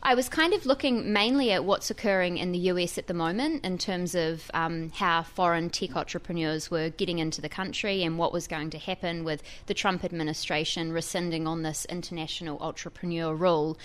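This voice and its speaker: A woman with a New Zealand accent speaks as a reporter being interviewed. Her voice is a bit on the low side and she explains the story in a conversational way. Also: fairly even intonation, fairly quick, hardly any pauses. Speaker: technology reporter.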